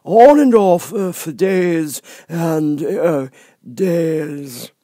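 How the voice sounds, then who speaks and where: A man voicing a dim, stubborn frog footman from a storybook, with a hesitant, drawn-out, vague delivery. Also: fairly high pitch, lively intonation, warm tone, no room sound, clean studio sound, voice pushed up, full character voice. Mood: indifferent.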